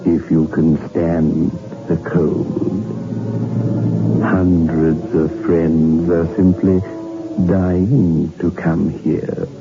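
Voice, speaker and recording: A gravelly ghoulish horror host. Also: male voice, level tone, heavily acted. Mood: sinister. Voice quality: deep gravelly creaking voice, slow and drawn out. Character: sinister male host of a creaking-door horror show. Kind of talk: 1960s radio horror acting. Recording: tinny old radio transcription with music.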